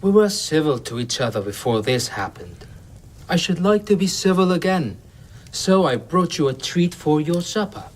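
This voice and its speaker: A man's voice: fairly high pitch, expressive, moderate pace, poor audio. An actor playing an enslaved young foreign man in an audio drama, defiant and questioning. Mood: conciliatory. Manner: challenging, pointed. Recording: clear background noise.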